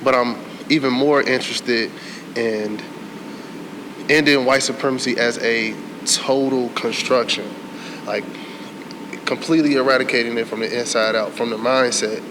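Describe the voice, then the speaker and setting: Young male panelist, monotone, deliberate, noisy background. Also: medium pitch. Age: young adult. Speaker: protester. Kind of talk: panel discussion.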